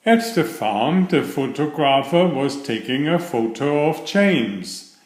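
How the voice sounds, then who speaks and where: A man reading a children's storybook aloud, telling it in an expressive and deliberate way. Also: fairly high pitch. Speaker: children's book reader. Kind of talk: storybook read-aloud narration. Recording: roomy, echoing voice recording.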